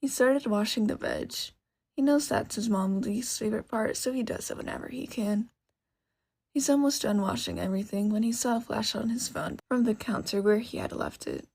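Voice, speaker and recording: A woman reading a story aloud as expressive scripted narration. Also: mid-range voice, moderate pace, vocal fry, husky. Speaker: narrator, podfic reader.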